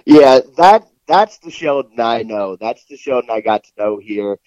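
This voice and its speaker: A man giving casual sports analysis as a guest, in a halting way with repeated words, on a muffled recording. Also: medium pitch, expressive, a little mellow, no echo. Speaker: guest football reporter. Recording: muffled, lo-fi call sound.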